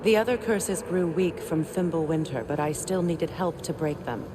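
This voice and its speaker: An actress playing a proud, guarded goddess in a video game, speaking formally and curtly. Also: female voice, low-pitched, normal speed, background noise, close to an everyday voice. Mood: guarded, cool. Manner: formal, curt. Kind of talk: video game character acting.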